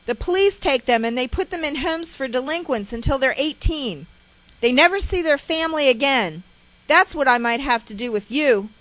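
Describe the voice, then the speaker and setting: A woman storyteller voicing an enraged, abusive mother, harsh and shouting, who scolds and threatens. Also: high-pitched, no echo, voice pushed up, poor audio.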